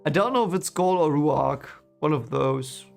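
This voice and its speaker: Man, German accent, gravelly voice, rambling streamer chat, faint music in the background. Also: a little high, lively intonation, moderate pace, a little mellow. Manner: rambling, many fillers and restarts. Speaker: streamer reading a book series. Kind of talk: casual chat reacting to live chat.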